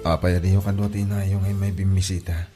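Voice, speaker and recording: A man with an Ilocano accent playing a gentle, concerned older father in an audio drama, giving fatherly advice. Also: low voice, flat delivery, brisk, faint music behind, natural voice.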